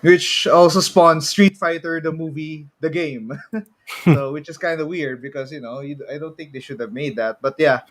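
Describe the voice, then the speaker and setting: A man's voice: fairly high pitch, moderate pace, a bit bright. A man co-hosting a casual pop culture podcast, often repeating and rephrasing as he goes. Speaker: podcast co-host.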